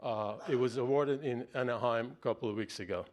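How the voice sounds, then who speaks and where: A middle-aged man moderating a panel on a conference stage, talking with halting fillers into a podium microphone. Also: medium pitch, heavy background noise. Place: conference stage before an audience.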